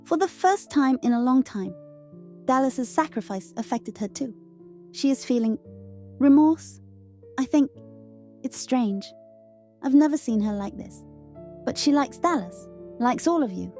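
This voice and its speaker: Woman acting as Freya, high, mellow, gentle girl. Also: lively intonation, faint music behind. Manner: soft, halting, earnest. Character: Freya, gentle, remorseful young female fighter-plane spirit. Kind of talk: audio drama acting.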